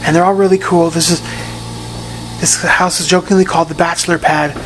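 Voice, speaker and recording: A man with a hoarse, raspy voice giving a casual video update from his room in a rehab facility, often repeating and restarting his words. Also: fairly high pitch, level tone, a little fast, a bit bright, slight background noise, poor audio. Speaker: patient giving a recovery update.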